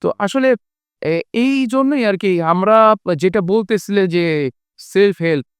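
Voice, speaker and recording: A man's voice: sits high, slow pace, smooth, no echo, clean studio sound. A man with a Bangladeshi accent giving his views as a panelist in a colloquial, conversational way, circling back to rephrase. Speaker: discussion panelist. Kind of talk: conversational discussion.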